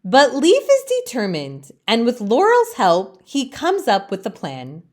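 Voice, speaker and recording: Slow, expressive woman reading a storybook. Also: fairly high pitch. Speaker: storytime host reading aloud. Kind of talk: expressive children's storybook narration.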